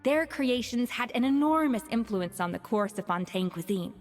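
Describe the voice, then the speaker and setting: Brief: actress as a poised chef, cool and exact, fairly high voice, game dialogue with reverb. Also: female voice, expressive, natural voice. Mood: explaining. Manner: measured, dry, lightly disdainful. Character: composed woman head chef, precise and frank. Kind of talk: video game voice acting. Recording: reverberant game audio with soft music.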